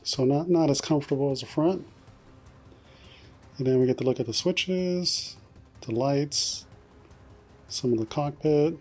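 Excited man, husky voice, unboxing collectible. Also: a little high, measured pace, long pauses, soft background music. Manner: enthusiastic, exclaims and uses mild profanity. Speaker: collectibles vlogger. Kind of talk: casual unboxing commentary. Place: indoor collection room with display shelves.

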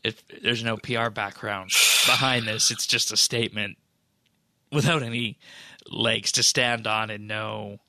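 A man co-hosting a podcast, chatting casually about game news in a measured, hesitant way. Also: fairly low pitch, steady tone, creaky, pushed and bright, no room sound. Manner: measured, hesitant, drawn-out phrasing. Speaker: podcast co-host. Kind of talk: casual gaming news chat.

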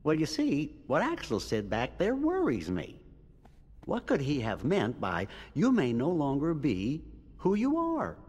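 A man voicing a small, fussy, courteous cartoon cricket in a video game, careful and fretful, with a husky voice. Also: a little high, huge pitch swings, unhurried, darkish tone, full character voice. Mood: worried. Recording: echoing hall reverb.

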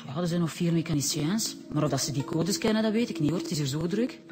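A flustered, devoted receptionist in a Flemish radio play. She rambles quickly in a low-pitched female voice, repeating herself, with music behind. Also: lively intonation. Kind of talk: radio drama acting.

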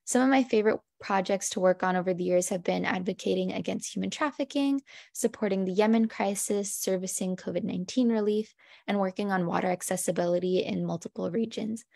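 A woman's voice: mid-range voice, a little fast, vocal fry, a little mellow, no room sound, studio quality. A teenage girl from the United States answering panel questions earnestly over a video call. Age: teens. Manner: earnest, enthusiastic. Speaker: youth panelist.

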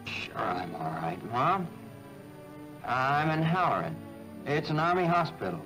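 An actor playing a nervous, homesick young American soldier in a 1940s film, halting and gentle, with an American accent. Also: male voice, a little high, very animated, low-quality recording. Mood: tender, reassuring. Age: young adult. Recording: old film soundtrack with music.

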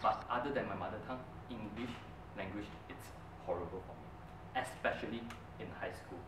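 A man's voice: on the low side, brisk. A young man whose first language is Mandarin, giving an earnest prepared speech at a club meeting in a medium-sized indoor room, picked up by a distant camera. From Brunei. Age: young adult. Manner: earnest storytelling, with an animated, emphatic delivery. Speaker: club speaker. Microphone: far camera. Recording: roomy, distant, noisy room recording.

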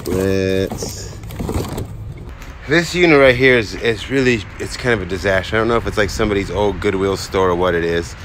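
A man in his forties, chatty and excited, talking to viewers while unboxing outdoors beside storage units. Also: low-pitched, moderate pace. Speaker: storage auction reseller. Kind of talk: casual unboxing vlog. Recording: outdoor noise behind voice.

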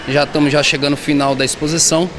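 A high-voiced man answers a reporter, stopping and restarting his words as he goes. He speaks into a handheld microphone inside a shopping mall. Loud background noise runs under his voice the whole time. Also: level tone. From southern Bahia, Brazil. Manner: halting, repeats and restarts words. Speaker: artisan interviewee. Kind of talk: street interview. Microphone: handheld reporter mic.

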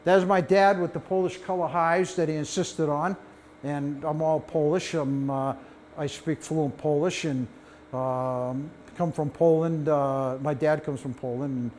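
An older man with a high voice leads a library talk on beekeeping, heard from a camera out in the room. He tells stories with lively energy, jumping up in pitch as he acts out what people said. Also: measured pace, a little hiss or hum. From United States. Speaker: beekeeping presenter. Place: library meeting room, mid-sized, indoors.

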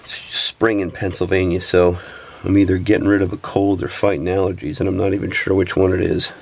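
Low gravelly man, sniffly repair talk. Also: brisk. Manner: relaxed, talks while working with hands. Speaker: repair technician. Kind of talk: step-by-step repair tutorial. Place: workbench, indoors.

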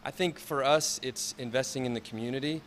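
A man, a local business owner answering interview questions in a mall space, friendly but halting. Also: mid-range voice, a bit bright, a little hiss or hum. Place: large indoor mall space.

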